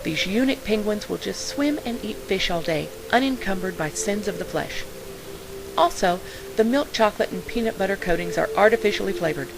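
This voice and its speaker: A woman's voice: medium pitch, moderate pace, over music. A woman announcer reading a scripted parody commercial on a hissy recording. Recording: clearly noisy, hissy track.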